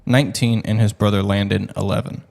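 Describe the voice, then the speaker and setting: A man's voice: on the low side, fairly even intonation, a little fast, vocal fry, darkish tone. A man talking bluntly in a casual group discussion. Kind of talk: casual chat.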